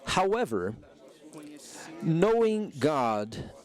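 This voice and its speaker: A man giving a simultaneous interpretation of a conference speech in measured, deliberate phrases. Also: on the high side, sing-song, darkish tone.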